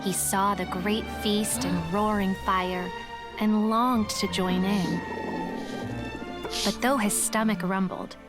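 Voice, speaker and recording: A woman narrating a folk tale in a game in a calm, storybook way. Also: mid-range voice, takes it slowly, close to an everyday voice. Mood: storytelling. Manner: calm, warm, once-upon-a-time telling. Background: game music.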